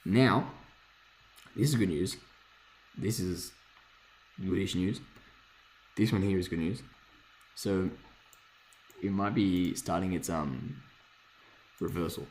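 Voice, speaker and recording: A man with a hoarse voice casually thinking aloud while reviewing trading charts, rambling, swearing freely and correcting himself. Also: low voice, unhurried, long pauses, darkish tone, rough recording. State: hoarse, lost voice from screaming. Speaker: forex trader reviewing charts.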